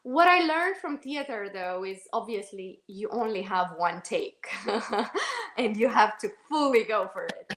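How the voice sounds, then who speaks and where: A woman's voice: mid-range voice, big pitch swings, measured pace, a bit bright. A woman from Romania, an actress answering interview questions in a thoughtful, hesitant way with frequent restarts. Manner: thoughtful, self-correcting, many fillers and restarts. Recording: echoey room.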